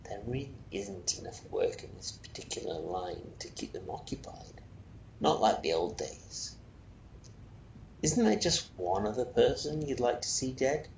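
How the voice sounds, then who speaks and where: A man reading a storybook puts on an obliging salesman with a creaky voice. He is deferential, formal and quick to apologise, speaking in a roomy, echoing space. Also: on the low side, big pitch swings, a little mellow, heavily acted. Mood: confiding. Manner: polite, formal, fussily apologetic. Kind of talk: storybook character voice. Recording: roomy echo, faint noise.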